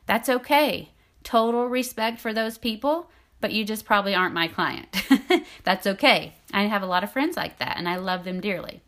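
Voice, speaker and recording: A woman's voice: medium pitch, normal speed, a bit bright. A middle-aged woman with a soothing voice, hosting her own podcast as an intimacy coach in a friendly, chatty way. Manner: friendly, chatty, laughs lightly. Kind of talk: solo podcast talk, partly scripted.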